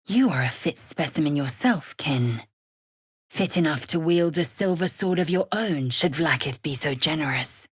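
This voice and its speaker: A woman's voice: deep voice, animated, normal speed, husky, no room sound, clean studio sound. A woman voicing a fierce, haughty alien warrior in a fantasy video game, with an imperious, clipped, solemn delivery. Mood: commanding.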